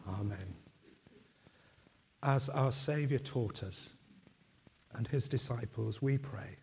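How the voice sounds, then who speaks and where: A man leading communion in a church, reciting liturgical prayers in a slow, solemn, measured way, his voice going. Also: mid-range voice, darkish tone, a little hiss or hum. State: losing his voice.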